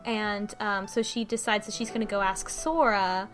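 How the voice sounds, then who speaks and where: A woman's voice: medium pitch, steady tone, moderate pace, fairly bright tone. A woman co-hosting a podcast, casually recapping a story and informally acting out the characters' lines. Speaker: podcast co-host recapping a visual novel. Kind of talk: casual chat, plot recap from notes. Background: music under the talk.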